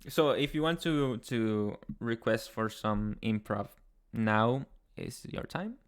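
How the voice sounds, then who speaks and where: A young man from Chile with a Chilean Spanish accent, hosting a casual livestream and answering chat in a soft, hesitant way with many pauses. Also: medium pitch. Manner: soft-spoken, hesitant, many ums and restarts. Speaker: ASMR channel host. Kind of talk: casual livestream chat, reading viewer comments.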